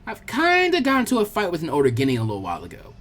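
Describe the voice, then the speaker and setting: A man's voice: on the high side, huge pitch swings, racing, a bit bright. A man voicing a brash, earnest young ninja boy, informal and eager, for a fan fiction audiobook. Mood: sheepish, frank.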